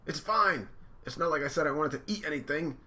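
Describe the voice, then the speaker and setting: A man voicing a brash, excitable young male pirate captain in a loud, strained voice, read from a comic. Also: brisk. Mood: insistent. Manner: loud, shouty, high and strained.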